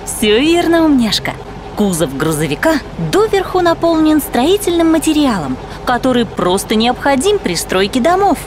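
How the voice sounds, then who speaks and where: A woman narrating a children's cartoon as host, explaining things in an animated storytelling way. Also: sits high, moderate pace, music in the background.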